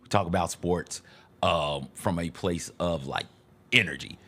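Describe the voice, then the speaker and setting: A man's voice: deep voice, measured pace, bright, forceful tone. A man co-hosting a casual sports talk show, talking in combative, slangy banter with mock rants and swearing.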